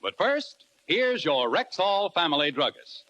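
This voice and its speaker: A man's voice: high voice, big pitch swings, unhurried, sharp tone. A male announcer reading scripted lines in a 1940s radio style. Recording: muffled old radio broadcast with music.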